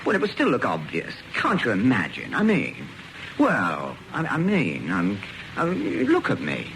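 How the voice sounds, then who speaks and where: An actor with a British accent playing a timid, indignant, reluctant hairdresser roped into spying, stammering and protesting in a comic radio drama. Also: male voice, medium pitch, wildly swooping pitch, unhurried, background noise. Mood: indignant, flustered.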